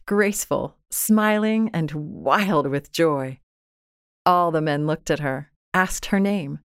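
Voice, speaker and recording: A woman reading literary fiction as a narrator, with measured, expressive and dramatic delivery. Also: lowish voice, no room sound, clean studio sound. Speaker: audiobook narrator. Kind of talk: scripted literary story narration.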